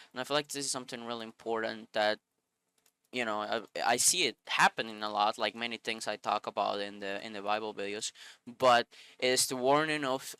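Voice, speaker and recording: Sniffly man with a bright, clear voice. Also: mid-range voice, no echo. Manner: earnest, searching for words. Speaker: Bible video host. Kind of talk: scripture reading then casual reflection.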